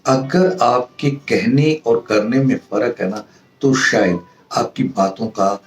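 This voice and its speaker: A man with an Indian accent giving an informal lesson to viewers as a professor, in a halting way that repeats phrases for emphasis. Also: medium pitch. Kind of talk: informal instructional monologue to viewers. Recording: roomy echo, distant mic, music behind.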